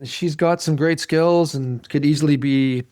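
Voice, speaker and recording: A man with a fairly high-set voice talks as a podcast host. His delivery is casual and conversational. He rambles with enthusiasm, often repeating himself as he builds a thought. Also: steady tone, unhurried, dark tone, clean tone.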